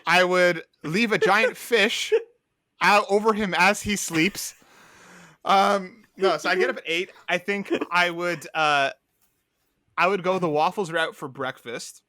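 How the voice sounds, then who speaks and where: A man's voice: high voice, a little slow, sharp tone, no echo, studio quality. A young man co-hosting a podcast, chatting casually and rambling upbeat through a story with lots of fillers. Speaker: podcast co-host.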